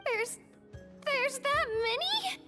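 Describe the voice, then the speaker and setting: Very high-voiced earnest young girl. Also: animated, very slow, pushed and bright, soft background music, heavily acted. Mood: daunted. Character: young girl, earnest and eager game heroine. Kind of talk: video game voice acting.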